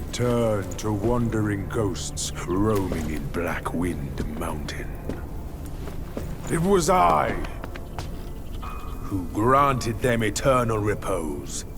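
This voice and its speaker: An actor playing a vain, sinister old monk in a video game, proud and taunting, with a theatrical delivery. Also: male voice, fairly low pitch, exaggerated voice. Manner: theatrical, swinging from hushed to shouted. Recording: loud music under the voice.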